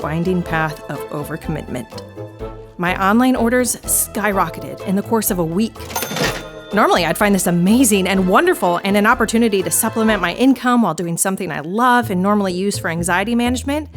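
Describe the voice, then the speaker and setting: A frazzled woman knitter confides in the listener in an audio drama monologue, played by a woman. Her medium-pitched voice is conversational, wry and exasperated, with music clearly behind it. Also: natural voice.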